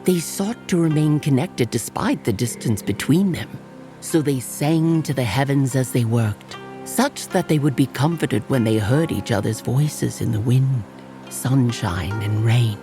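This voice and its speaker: An actor as a slow, serene animal spirit storyteller over music. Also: medium pitch, lightly acted. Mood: reverent storytelling. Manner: measured, reverent, gentle. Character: serene, kindly yokai animal wedding officiant and storyteller. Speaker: officiant and narrator. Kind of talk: scripted ceremonial storytelling. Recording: music playing behind the voice.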